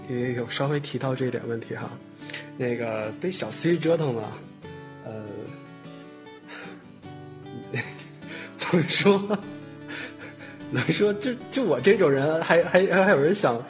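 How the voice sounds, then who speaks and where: A man's voice: on the high side, lively intonation, a little slow. A man in a casual online cast chat, talking hesitantly and repeating his phrases. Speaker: voice actor at a cast chat, later reading questions. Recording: music behind the voice.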